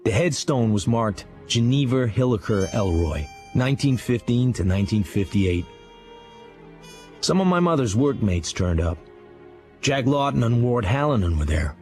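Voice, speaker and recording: An actor playing a haunted, hard-bitten American writer, narrating a memoir in blunt, clipped sentences for a radio drama. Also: male voice, mid-range voice, expressive, moderate pace, a little mellow, soft background music, lightly acted. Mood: hard-bitten, bleak.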